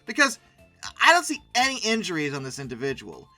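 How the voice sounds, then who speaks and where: A commentator giving casual, humorous commentary in a rambling, animated, mock-indignant way, with conversational asides. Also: mid-range voice, a little fast, no echo, soft background music. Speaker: host reviewing old dinosaur illustrations.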